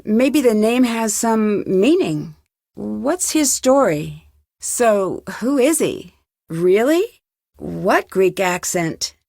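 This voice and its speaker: A young American woman detective in a mystery game. Her voice sits a little high and she speaks clearly, with a polite, questioning manner. The scripted lines are captured dry, with hardly any room sound. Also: no echo. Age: young adult. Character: young female amateur detective, polite, inquisitive, composed. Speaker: voice actress in a detective adventure game.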